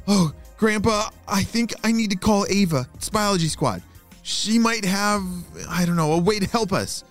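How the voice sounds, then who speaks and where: A man doing an eager young boy, raised pitch. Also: normal speed, faint music behind, close to an everyday voice. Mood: anxious.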